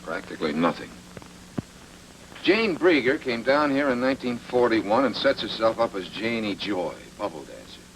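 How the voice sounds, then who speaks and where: A man playing a wisecracking, deadpan private detective in a film noir radio drama. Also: fairly low pitch, moderate pace. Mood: urgent, sure. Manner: deadpan, sardonic. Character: wisecracking hard-boiled male private detective. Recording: noisy vintage radio recording.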